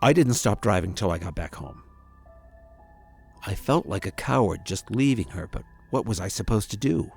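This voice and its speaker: A low-pitched man acting as a haunted grandson tells a first-person horror story. He speaks in a measured, dramatic way, leaving deliberate pauses. Music plays behind the narration. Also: animated, vocal fry, a little mellow. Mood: regretful, haunted. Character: haunted grown grandson recounting a frightening visit.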